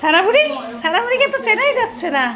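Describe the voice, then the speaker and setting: A woman's voice: extremely high pitch, lively intonation, fast talker, a crowd in the background. A woman with a Bangladeshi accent chatting casually in a home vlog, coaxing and full of questions. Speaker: family vlogger, host. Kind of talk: casual home vlog chat with relatives and children. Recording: clattering household noise behind the voice.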